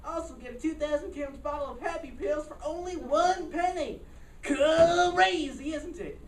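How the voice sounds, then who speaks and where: Woman doing a manic discount-store pitchman, high and echoey. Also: unhurried. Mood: manic, pushy. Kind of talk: loud parody TV commercial. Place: staged reading in a room. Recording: echoey, noisy room, distant mic.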